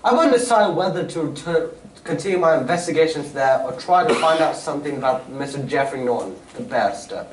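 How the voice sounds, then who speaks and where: A man playing a brisk, commanding gentleman detective eager on the chase in a staged radio drama. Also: on the high side, lively intonation, lightly acted. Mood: urgent, calculating. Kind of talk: old-time radio drama reading on stage. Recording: noisy echoey stage, far from mic.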